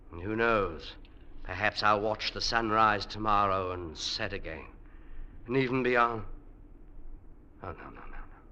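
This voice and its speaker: An actor playing an exhausted male mountaineer on a glacier in an old radio drama, hushed in thought, then steady and reassuring. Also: fairly low pitch. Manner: hushed inner monologue, then hearty and reassuring. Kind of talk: 1950s radio drama acting. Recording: old radio broadcast with faint hiss.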